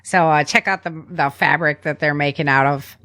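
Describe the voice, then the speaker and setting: A woman's voice: deep voice, fairly even intonation, normal speed, a bit bright. A woman hosting a podcast, chatting casually about survival tips in an informal, plain-spoken way. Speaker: podcast host.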